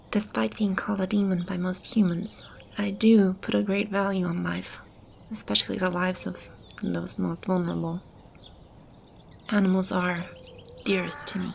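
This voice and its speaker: A soft, breathy female demon, acted by a woman, speaks slowly and soothingly, with a gravelly creak and whispery passages. She is a gentle protector in an ASMR roleplay, with noticeable ambience behind the voice. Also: lowish voice, a little hiss or hum. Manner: soft, unhurried, soothing reassurance. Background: ambient sound effects.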